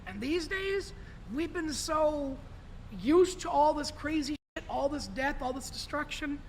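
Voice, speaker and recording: A man with a notably high voice holds forth in casual podcast talk, rambling and emphatic, swearing freely. A faint hiss of background noise sits under the recording. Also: a little slow, darkish tone, poor audio. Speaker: podcast guest.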